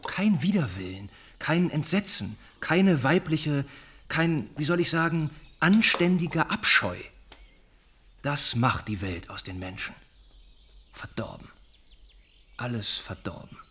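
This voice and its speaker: An actor playing an earnest, wounded young gentleman who confides haltingly and reflectively in a radio drama. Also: fairly high pitch, sing-song, breathy edge, exaggerated voice. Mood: indignant, dismayed.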